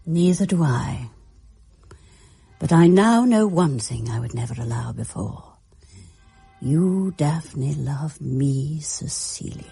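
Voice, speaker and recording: Frail old radio-drama lady, husky, mellow, expressive, genteel, fretful. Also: low voice, slow, pauses often, soft background music, exaggerated voice. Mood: wistful, grave. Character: frail, kindly old woman, wistful and fretful.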